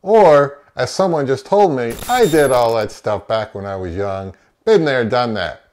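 A man's voice: medium pitch, lively intonation, measured pace, a little mellow. An American man in his fifties giving a semi-scripted review to camera, emphatic and opinionated, posing questions and answering them himself. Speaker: audio reviewer and host. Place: small indoor home office.

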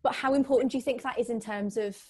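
A woman's voice: on the high side, steady tone, quick pace, darkish tone, slightly rough, soft background music. A young British woman hosting an interview over a video call, asking long rambling questions with many fillers. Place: home room indoors. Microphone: video call webcam.

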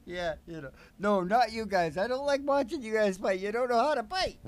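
A man's voice: high-pitched, expressive, a little fast, slight background noise. An older man hosting a live country music show with rambling, folksy banter and a theatrical showman's manner, speaking into a stand microphone. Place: small curtained stage, indoors.

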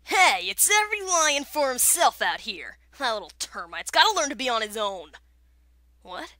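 A woman voicing a jealous, over-excitable young male lion in a cartoon, whining and frantic. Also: on the high side, moderate pace, no echo. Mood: eager, boastful.

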